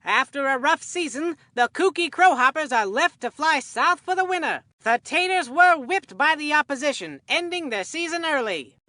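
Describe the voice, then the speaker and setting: A woman voicing an excitable, folksy male baseball commentator in a video game, with a hammy announcer delivery. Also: high voice, no room sound, studio quality. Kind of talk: scripted video game sports commentary with puns.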